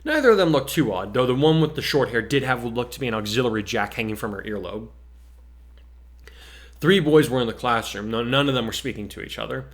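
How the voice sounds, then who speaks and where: A man's voice: medium pitch, lively intonation, fairly quick. A man with a mid-range voice, co-host and author. He talks casually and narrates his story as a dramatic reading. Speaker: co-host and fan fiction author.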